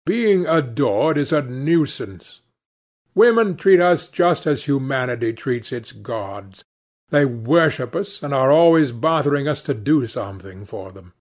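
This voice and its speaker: A man's voice: medium pitch, lively intonation, unhurried, voice pushed up. A man voicing a witty, cynical aristocrat in an audiobook, with a nonchalant, pompous, epigrammatic manner. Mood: languid cynicism. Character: languid witty cynical male aristocrat, urbane and teasing. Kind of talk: audiobook character dialogue.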